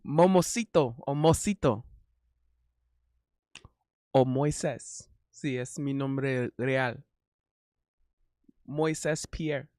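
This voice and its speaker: A Haitian man speaking Spanish as a learner, chatting casually on a stream, halting and correcting himself often. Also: mid-range voice, darkish tone, no room sound, studio quality. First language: not Spanish. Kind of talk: casual chat.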